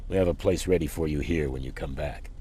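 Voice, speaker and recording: Gruff wasteland supplier guy, super deep dark voice, all business, video game, bit of noise. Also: fast talker. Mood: businesslike. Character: gruff resistance supplier, businesslike survivor in a post-apocalyptic world.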